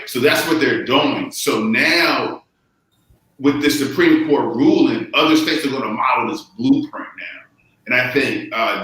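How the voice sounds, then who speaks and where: A man, a lawyer, lays out a legal argument on a panel. He is emphatic and circles back to his key phrases. His voice is bright and forceful, and the recording has a strong, roomy echo. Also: mid-range voice. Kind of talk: casual panel discussion. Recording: very echoey room.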